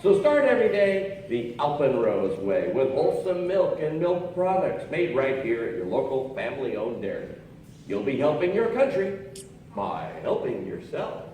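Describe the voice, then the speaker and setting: An actor playing a patriotic wartime radio announcer selling to listeners on a live stage. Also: male voice, medium pitch, big pitch swings, normal speed, dark tone, lightly acted. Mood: proud, emphatic. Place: stage of an opera house, live show. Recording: echoey hall, far mic, faint noise.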